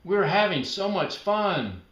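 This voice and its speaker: A man's voice: high-pitched, slow pace, echoey room, voice pushed up, exaggerated voice, rough recording. A man voicing an exuberant, childlike little girl in a children's storybook. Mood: puzzled. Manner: excited, exclamatory, childlike. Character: exuberant, bouncy little girl who loves jumping.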